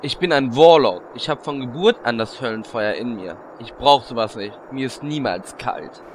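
A man's voice: mid-range voice, moderate pace, a little hiss or hum, close to an everyday voice. A man playing a boastful, flirtatious warlock in a comedic audio drama, with a cocky way of talking.

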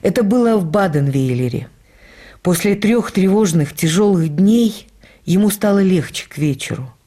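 An actress playing a writer's widow, fondly reading her memoir for radio in a measured, reflective storytelling manner. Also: on the low side, animated, mellow. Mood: tender, wistful. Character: writer's widowed actress wife fondly recalling their life.

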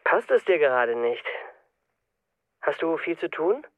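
An actor playing a sober systems consultant, a smitten city single, matter-of-fact in a German radio play. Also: male voice, fairly high pitch, big pitch swings, a little slow, no echo, phone-quality sound. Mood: tentative, hopeful.

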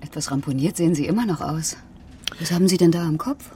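A woman's voice: low-pitched, fast talker, dark tone, a little hiss or hum, lightly acted. An actress playing a cool, probing female acquaintance with a dry, understated manner in a radio crime drama. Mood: teasing. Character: cool, inquisitive female train acquaintance, teasing and guarded.

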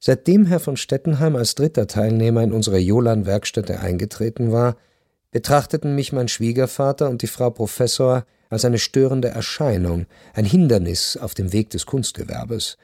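An actor playing a reflective, wounded husband who narrates a literary radio play in a measured, restrained way. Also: male voice, mid-range voice, warm tone, dry recording, studio quality, natural voice. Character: reflective, wounded husband recounting his past. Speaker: first-person narrator.

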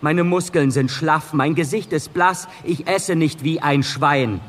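A man's voice: on the high side, unhurried, a bit bright, in a large hall. An actor playing an indignant, bookish, proudly unathletic man in a German radio drama, prickly and argumentative. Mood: prickly defiance.

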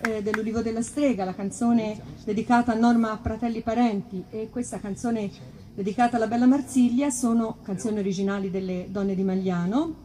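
Female concert presenter, high voice, informal and chatty, speaking on an outdoor stage mic. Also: steady tone, fairly quick, noisy background. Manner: chatty, self-interrupting. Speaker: singer and composer presenting a choir concert. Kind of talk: informal spoken introductions between songs. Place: live outdoor concert in an olive grove. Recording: live outdoor concert recording.

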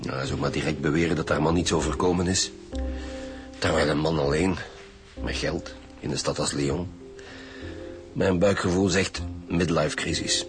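Senior Flemish detective, very deep pitch, curt and measured, sceptical, quick to irritation, radio drama with prominent music. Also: male voice, fairly even intonation. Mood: irritated, dry. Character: senior male police detective, dry, sceptical, easily irritated. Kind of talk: naturalistic radio drama acting.

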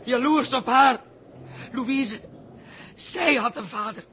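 An actor playing a desperate, guilt-ridden young man in a 1960s radio play, halting and agonised, repeating himself. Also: extremely high pitch, pushed and bright, full character voice. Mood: agitated, confessing. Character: tormented young man confessing his boyhood jealousy, desperate, guilt-ridden. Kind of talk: 1960s Dutch radio drama acting. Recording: noisy 1960s radio recording.

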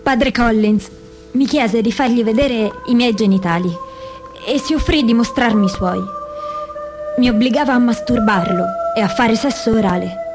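A woman's voice: fairly high pitch, normal speed, music in the background, close to an everyday voice. A woman reading scripted reports and testimony for a documentary radio play. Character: victims and witnesses recounting abuse by priests.

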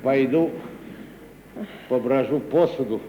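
Shabby provincial comic male actor, high-pitched, mischievous and grumbling, old Russian radio comedy, dull roomy sound. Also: takes it slowly, lightly acted. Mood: peevish, sulky. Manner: theatrical, peevish, mischievous. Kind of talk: 1950s Russian radio theatre acting. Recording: dull, noisy, echoey 1950s radio recording.

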